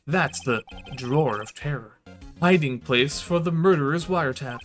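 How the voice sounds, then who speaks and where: A man's voice: fairly high pitch, normal speed, a bit bright, faint music behind, natural voice. A man voicing an obsequious, boastful and opportunistic hotel bellboy in a visual novel, fawning and formal. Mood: eager, proud. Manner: fawning, formal, peppering speech with sir. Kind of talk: visual novel character read aloud.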